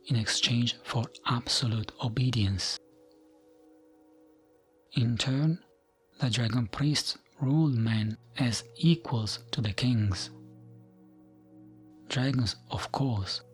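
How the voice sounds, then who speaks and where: A man narrates fantasy lore in a gentle ASMR style. His delivery is hushed and soothing, taken very slowly at a medium pitch. Music plays softly behind his voice. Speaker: narrator of gaming lore videos.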